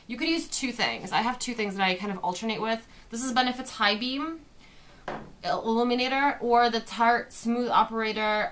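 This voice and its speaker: A young woman with a slightly hoarse voice from a sore throat, chatting through a makeup tutorial in her bedroom, recorded on a webcam. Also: medium pitch, fairly bright tone. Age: young adult. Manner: chatty, explains each step while applying makeup. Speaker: beauty vlogger. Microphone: webcam at desk distance.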